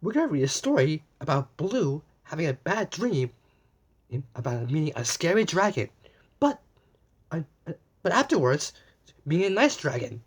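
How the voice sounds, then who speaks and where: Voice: medium pitch, big pitch swings, normal speed, breathy edge. A person reading a picture book aloud to young children, stumbling and repeating words now and then, with exaggerated wonder. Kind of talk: children's read-aloud, addressing young viewers with questions.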